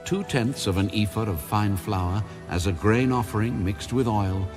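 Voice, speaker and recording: A man with a lowish voice reads scripture formally, in a measured and solemn audiobook style. Music plays clearly behind his narration. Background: background music.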